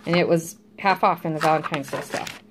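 A woman's voice: sits low, fairly even intonation, a little fast, low-quality recording. A middle-aged American woman chatting casually and informally, like a home vlogger talking viewers through her shopping. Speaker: vlogger showing a grocery haul. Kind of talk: casual chat to camera. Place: indoors at home, at a table. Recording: clear background noise, crinkling packaging. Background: crinkling bags and handling clicks.

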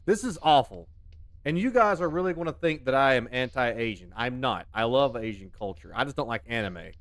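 A man's voice: mid-range voice, animated, brisk, no room sound. A man, chatting and joking on a headset mic while streaming a game from his home desk. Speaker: gaming streamer. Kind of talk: casual live commentary while playing.

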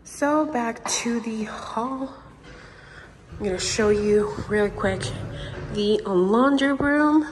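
A young woman from Puerto Rico, gushing with excitement as she films a tour of her new empty house on a handheld phone. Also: medium pitch, slight background noise, poor audio. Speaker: vlogger. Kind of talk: home tour vlog. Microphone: handheld phone camera.

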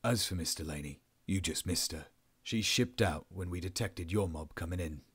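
A man's voice: sits low, normal speed, a bit bright, slightly rough, voice pushed down, exaggerated voice. A man voicing a cool, commanding military officer interrogating a prisoner in an audiobook story, curt and unimpressed. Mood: cool, controlled.

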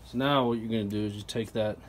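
A man casually explaining a tool setup while working with his hands, chatty and relaxed with plenty of ums. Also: on the low side, fast, slight background noise. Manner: relaxed, chatty, lots of ums and you-knows. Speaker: product reviewer. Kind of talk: casual how-to narration.

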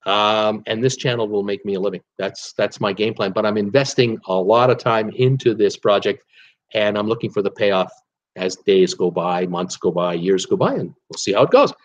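A man's voice: fairly low pitch, moderate pace, muffled recording, slight background noise. A man in his sixties hosting a live stream from his home office. He reads viewer comments and chats casually, with lots of "uh" fillers. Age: 60s. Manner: rambling, chatty, frequent "uh" fillers, animated hand gestures. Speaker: cruise travel YouTuber hosting a livestream. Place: home office with shelves of cruise mugs, indoors.

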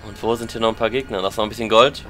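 A man's voice: fairly low pitch, fairly even intonation, very fast, pushed and bright, a little hiss or hum. A German man with a lingering cold, chatting casually as he plays and comments on a game, thinking aloud. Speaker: let's play commentator.

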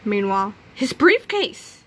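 A woman reading a children's graphic novel aloud, expressively, reading sound effects out loud as well. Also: mid-range voice, big pitch swings, a little slow, slight background noise. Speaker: reader.

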